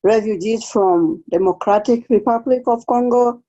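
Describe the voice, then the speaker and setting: A woman from Kenya with a Kenyan accent, a case aide speaking carefully with pauses and restarts as a guest in an online seminar interview. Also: fairly high pitch, mellow. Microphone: video call. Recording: dull lo-fi video call audio.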